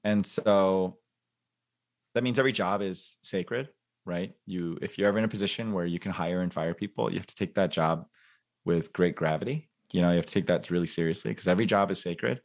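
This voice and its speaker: A man in his forties talks casually as a podcast guest, his voice sitting a little low. He reflects out loud, pausing on fillers and restarting sentences as he works out what he means. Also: gravelly. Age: 40s. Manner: reflective, thinks aloud with many fillers and restarts. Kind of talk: casual interview chat.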